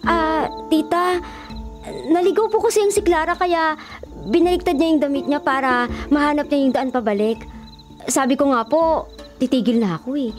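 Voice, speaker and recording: A woman playing an excitable, nagging best friend in her twenties, in an exclamatory, theatrical radio drama style. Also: high voice, normal speed, long pauses, lightly acted. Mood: nervous stammering. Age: 20s. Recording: music behind the voice. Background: music.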